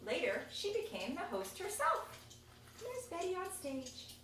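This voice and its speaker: A woman reading a children's picture book aloud, expressive and chatty, with casual asides about the pictures. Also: extremely high pitch, a little slow. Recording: echoey room, distant mic, faint noise.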